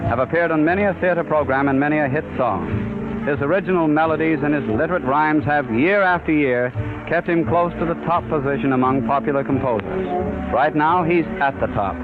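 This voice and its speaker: A man's voice: on the high side, a little fast, music in the background. A man hosting a 1930s radio show, announcing formally from a script like a showman. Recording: dull vintage 1930s radio recording.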